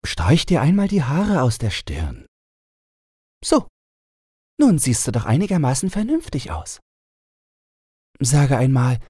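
A man's voice: mid-range voice, dark tone, breathy edge, no echo, clean studio sound, pitched above the performer's own voice, heavily acted. A man voicing a cheerful young fairy-tale princess with playful, naive, bossy chatter.

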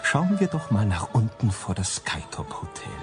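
A man's voice: on the low side, very animated, moderate pace. An actor playing an insistent male observer in a German radio crime drama. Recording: radio play under loud music.

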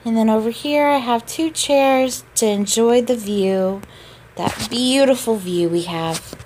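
Slow, sleepy young woman gaming vlogger. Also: fairly high pitch, darkish tone, rough recording. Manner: chatty, drawn-out and slow with little laughs. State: sleepy, just woke up. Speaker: game vlogger giving a tour. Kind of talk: casual voice-over tour.

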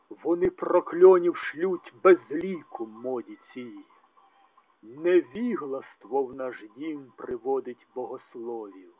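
A man giving a dramatic, declamatory poetry recitation, swinging between loud and hushed delivery, on a tinny, narrow-band recording. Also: fairly high pitch. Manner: declamatory, with strong rises and drops in loudness. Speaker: translator reading own poetry translations. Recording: tinny, narrow phone-like sound.

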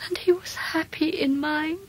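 An actress playing a gentle, frail, dying young English mother, breathy and faint, in a radio drama. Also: sits high, fairly even intonation, a little hiss or hum. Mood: weak, loving. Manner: breathy, faint, slow pleading.

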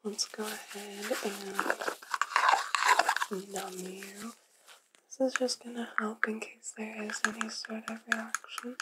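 A young woman whispering in an ASMR medical roleplay, giving hushed, soft instructions step by step as an examiner. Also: mid-range voice, level tone, slow pace, very noisy. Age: young adult. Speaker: ASMR performer role-playing a medical examiner. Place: small indoor studio with dark backdrop.

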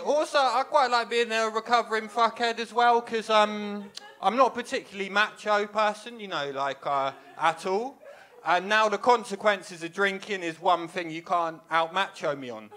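Young man, stand-up comedian, high voice, bright tone, wry deadpan, handheld mic, small club stage. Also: moderate pace. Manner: wry, self-deprecating deadpan delivery. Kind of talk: stand-up set. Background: audience laughter.

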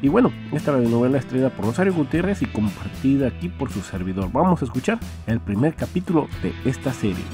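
A man's voice: mid-range voice, big pitch swings, fast talker, hardly any pauses, over music. A man with a Mexican accent giving a casual, rambling introduction as a podcast host. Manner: rambling, repetitive, frequent verbal tags.

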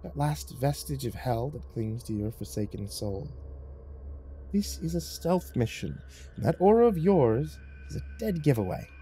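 High, taunting devil cat. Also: male voice, sing-song, unhurried, mellow, slightly rough, full character voice. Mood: gleeful, taunting. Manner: taunting, theatrical, profane. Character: mocking, sadistic devil in the shape of a cat.

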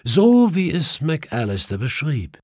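A man's voice: fairly high pitch, wildly swooping pitch, slow pace, dry recording, pitched above the performer's own voice, exaggerated voice. A man voicing a clever, self-assured male detective who explains things precisely in an audiobook. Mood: assured explaining. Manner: precise, explanatory, faintly mischievous.

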